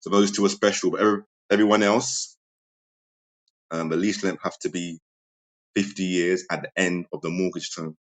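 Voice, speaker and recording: A man, a property investor, calmly walking through his deal strategy over a remote audio chat, with a lot of ums. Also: sits low, moderate pace. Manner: steady, methodical, many ums. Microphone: remote audio-chat connection.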